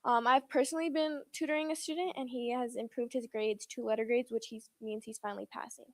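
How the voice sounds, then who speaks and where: A woman's voice: a little high, fairly even intonation, fast. A teenage girl from student council giving a chatty report to the school board. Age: teens. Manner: chatty, with many fillers like "like".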